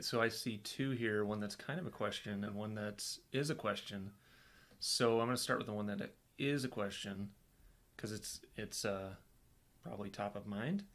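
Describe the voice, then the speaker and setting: A man's voice: fairly low pitch, a bit bright. A man co-hosting a casual live question-and-answer chat, reading viewer questions aloud in an easygoing, playful way.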